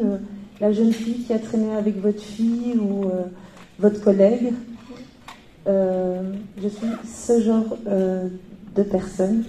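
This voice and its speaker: A woman's voice: mid-range voice, mellow, a little hiss or hum. A young woman from Belgium giving a personal testimony, hesitant with many fillers and a wry humor. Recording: roomy echo.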